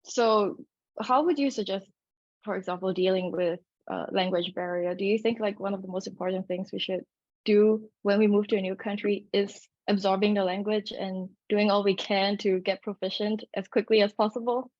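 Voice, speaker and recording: A woman's voice: medium pitch, steady tone, normal speed, darkish tone, dry recording. Woman moderator on dry, muffled line. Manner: conversational questioning. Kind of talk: panel moderation. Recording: dry, muffled call audio.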